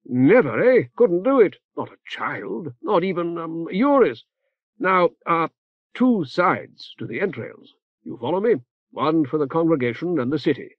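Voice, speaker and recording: A fussy elderly cleric rambles in clipped, halting phrases, forever stopping on "eh" and "uh", voiced by a male narrator. His voice sits well above the reader's own. The recording is dry and slightly muffled. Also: a little high, very animated, no echo, voice pushed up. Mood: grave.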